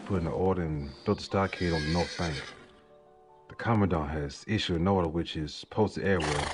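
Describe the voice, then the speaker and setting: A man playing a grim soldier reporting war news in a story, hushed, halting and matter-of-fact. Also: low voice, natural voice. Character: gray-clad horseman soldier, grimly reporting war news. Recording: music under the voice.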